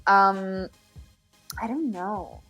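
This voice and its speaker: A Finnish-accented woman singer-songwriter answering interview questions from home, often pausing and restarting as she searches for words. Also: mid-range voice, fairly bright tone, faint music behind, rough recording. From Finland. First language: Finnish or Swedish. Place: home with her dogs.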